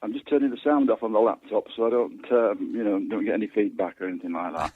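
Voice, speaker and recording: A man's voice: on the low side, steady tone, fast. An older man from West Yorkshire, an author, talking casually and hesitantly as a phone guest in an interview. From West Yorkshire, England. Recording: echoey, tinny phone line.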